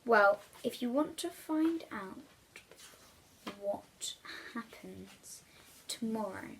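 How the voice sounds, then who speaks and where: A woman reading a children's story aloud in an expressive storytelling style, as the reader addressing young listeners. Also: a little high, extremely slow, slight background noise, rough recording.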